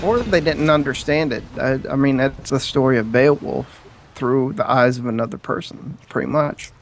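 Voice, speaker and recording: A man's voice: mid-range voice, moderate pace, a little hiss or hum. A man co-hosting a let's-play, laid-back, giving short replies and laughing often. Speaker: co-host. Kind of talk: casual gameplay chat.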